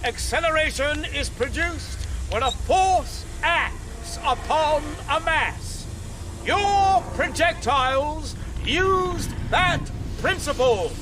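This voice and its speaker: Slow, high-voiced pompous gentleman. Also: lively intonation, noisy background, full character voice. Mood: earnest, ceremonial. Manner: formal, declamatory, deliberate. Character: pompous, earnest Edwardian gentleman giving a formal address. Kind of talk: period radio drama speech.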